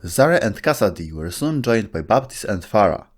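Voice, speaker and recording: A man's voice: lowish voice, very animated, normal speed. A man narrating a scripted video essay about game lore. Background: music.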